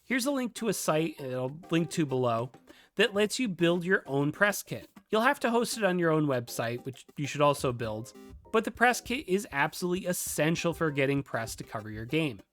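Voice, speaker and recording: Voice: mid-range voice, sing-song, moderate pace, fairly bright tone. A video host presenting a scripted tutorial in a direct, conversational manner, with casual asides. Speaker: video host and game developer giving a tutorial. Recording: music behind the voice. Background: background music.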